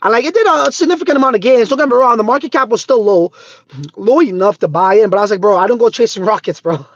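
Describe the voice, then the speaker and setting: A man from Trinidad, hosting a casual crypto livestream on his phone, excitable and swearing freely, peppered with "bro" and "dude". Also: high-pitched, fast talker, no room sound. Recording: slightly dull phone audio.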